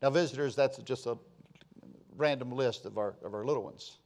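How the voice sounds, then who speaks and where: A man's voice: mid-range voice, a little mellow. A sixty-year-old man preaching a sermon as pastor, repeating phrases and swelling to emphatic peaks. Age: 60s. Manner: slow, repetitive preaching with rising emphatic swells.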